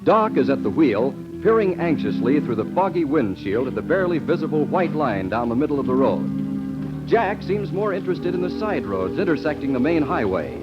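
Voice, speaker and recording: Old time radio announcer guy, dramatic swings in pitch, muffled recording. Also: very animated, normal speed, loud music behind the voice. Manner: formal, dramatic delivery. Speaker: announcer and narrator. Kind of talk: 1940s radio scripted narration.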